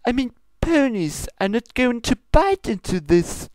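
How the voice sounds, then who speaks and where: A stern royal pony princess, as acted out by a fan fiction reader, handing down formal reproaches. The pitch sits raised and swings widely. The sound is close and dry. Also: sing-song, a little slow, a little mellow, no room sound. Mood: indignant. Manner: pompous, formal, scolding. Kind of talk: fan fiction comedy reading.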